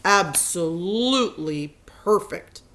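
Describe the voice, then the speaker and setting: Woman as devoted storybook dad, lowered voice. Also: lively intonation, takes it slowly, a bit bright, full character voice. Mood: reassuring. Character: devoted, emphatic storybook father.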